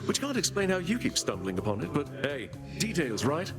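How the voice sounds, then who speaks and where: A laid-back party-loving god, flirty and teasing in a fantasy game. His voice is fairly high for a man and swings freely up and down. Music plays clearly underneath. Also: huge pitch swings, brisk. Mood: breezy, teasing. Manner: breezy, casual, teasing. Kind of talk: video game voice acting.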